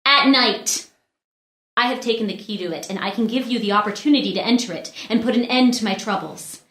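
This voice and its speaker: A woman's voice: fairly high pitch, fairly quick, fairly bright tone, lightly acted. An actress playing a proud, wronged young noblewoman in a read-through of a classical play, with earnest, declamatory delivery. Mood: urgent, resolute. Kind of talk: unrehearsed stage play reading. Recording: roomy echo.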